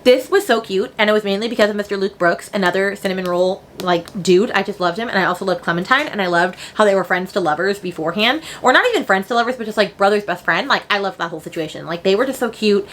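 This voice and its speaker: Woman doing a casual book chat, talks really fast, gushy and dramatic, lots of slangy filler. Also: medium pitch, fairly bright tone, a little hiss or hum. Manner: gushing, emphatic, dramatic exaggeration; slangy, informal with frequent "like" and "okay". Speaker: book reviewer vlogger.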